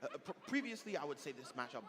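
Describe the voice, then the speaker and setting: A man's voice: a little high, big pitch swings, fast, bright, forceful tone. A man giving live fighting game commentary and analysis, articulate and emphatic, with rather formal phrasing. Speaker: esports tournament commentator. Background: clear background music.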